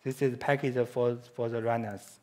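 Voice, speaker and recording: A man with a Chinese accent, a native Chinese speaker, giving a conference presentation in halting English with frequent restarts and self-corrections. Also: mid-range voice, level tone, a little mellow.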